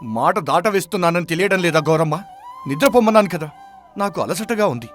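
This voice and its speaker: A man's voice: high-pitched, expressive, fairly quick, fairly bright tone. A man playing a sick, guilt-ridden village farmhand who hides his suffering in a dramatized moral story, anguished and heavy-hearted. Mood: agitated. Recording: music behind the voice.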